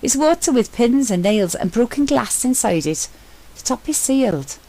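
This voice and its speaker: A woman reading a story aloud and voicing an excitable young man, chattering as he explores. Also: normal speed, poor audio. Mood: excited chatter. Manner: high-pitched, chattering commentary.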